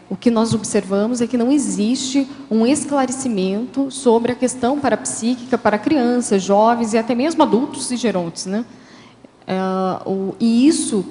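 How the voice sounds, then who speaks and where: A woman's voice: fairly high pitch, warm tone. A woman, a guest author, explaining her answers in an interview, hesitant and with many fillers. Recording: hall reverb.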